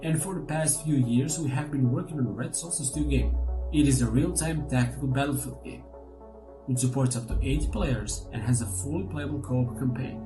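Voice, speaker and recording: A man's voice: mid-range voice, normal speed, music in the background, rough recording. A man, a studio chief giving a scripted presentation. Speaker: game studio CEO.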